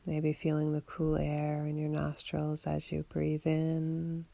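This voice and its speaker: A woman leads a guided relaxation in a low voice with very heavy creak. She gives gentle instructions without hurry, soothing and steady. The delivery stays very even in pitch. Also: takes it slowly. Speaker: meditation guide. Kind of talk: guided body scan meditation for sleep.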